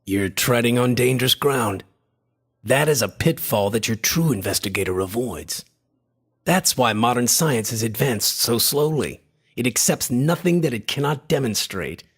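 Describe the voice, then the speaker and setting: An actor playing a skeptical friend who narrates a radio horror tale, with a gravelly, husky voice and a dry, measured manner. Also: male voice, medium pitch, animated. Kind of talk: radio drama narration and dialogue.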